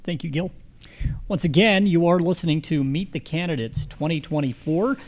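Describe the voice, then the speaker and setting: A man's voice: fairly high pitch, normal speed. An American man speaking as a radio host and moderator at a live candidate forum.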